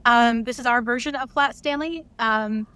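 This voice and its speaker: A woman's voice: on the high side, normal speed, sharp tone, smooth. A woman talking casually as an interview guest, explaining things in an earnest manner. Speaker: interview guest, director and writer.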